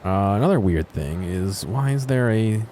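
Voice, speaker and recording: A low-pitched man gives off-the-cuff commentary while exploring a video game. He thinks out loud in a casual, wondering way. There is some background noise of street-like ambience behind his voice. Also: lively intonation, normal speed. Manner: musing, thinking aloud. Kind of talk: casual unscripted gameplay commentary.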